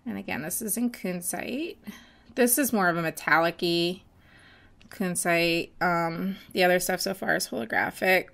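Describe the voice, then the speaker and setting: A woman chatting casually while mixing glitter, her voice going hoarse as she loses it, with many pauses and fillers. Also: lowish voice, fairly bright tone. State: losing her voice, hiccups. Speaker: nail art seller mixing glitter. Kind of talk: casual crafting voice-over.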